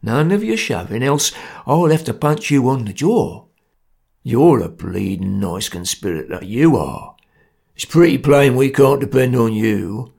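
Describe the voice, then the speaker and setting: A man voicing a grim, domineering Cockney ringleader, stern, laconic and gravelly, in a story reading. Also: medium pitch, expressive, a little slow, a little mellow, heavily acted. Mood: stern, bullying. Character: grim, domineering male ringleader of bungling conspirators.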